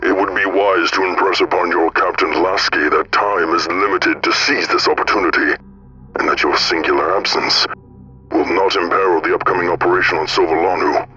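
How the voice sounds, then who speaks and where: A man playing a shadowy informant in an audio drama, speaking formally and deliberately in measured, cryptic phrases. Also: low voice, steady tone, pushed and bright, highs cut off.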